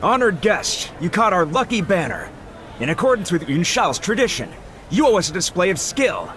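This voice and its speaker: An actor playing a ceremonious festival crier in a fantasy game, making formal proclamations. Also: male voice, high voice, very animated, normal speed, fairly bright tone, slight background noise.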